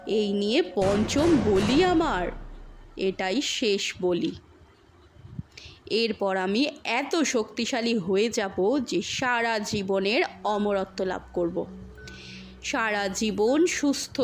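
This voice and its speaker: A woman voicing an evil old male tantric sorcerer in a horror story, menacing and gloating in slow, drawn-out speech. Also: medium pitch, close to an everyday voice.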